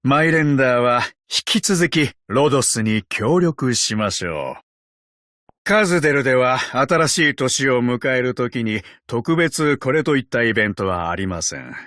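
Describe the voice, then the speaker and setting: A man voicing a courteous, ancient tin man agent in a video game, calm and sardonic, with a polite, measured, quietly teasing delivery. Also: medium pitch, dry recording, clean studio sound. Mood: calm, reflective. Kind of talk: Japanese video game character voice lines.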